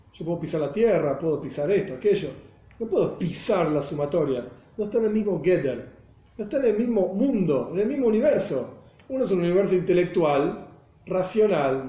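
A man's voice: sits high, warm tone. A man from Argentina with a Buenos Aires accent, teaching a religious class and explaining with rhetorical questions. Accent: Buenos Aires Spanish. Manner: explanatory, asks rhetorical questions, checks listeners follow. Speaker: Torah teacher. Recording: roomy echo.

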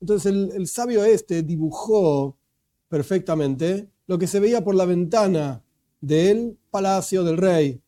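A man delivers a religious lesson in an explanatory, emphatic way, often asking and then answering his own questions. His voice is high for a man yet very dark and mellow in tone. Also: slow pace. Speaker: religious teacher. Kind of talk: religious lecture.

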